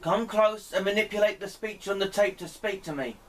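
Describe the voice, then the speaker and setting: A man speaking in deliberate, pleading short phrases, putting questions to a spirit as an amateur experimenter. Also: high-pitched, fairly bright tone. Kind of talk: slow spoken questions addressed to a spirit. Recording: distant mic, clear background noise.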